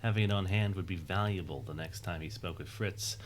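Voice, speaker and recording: A man's voice: deep voice, fairly quick, a little hiss or hum. A man reading scripted narration for an audio drama in a storytelling style.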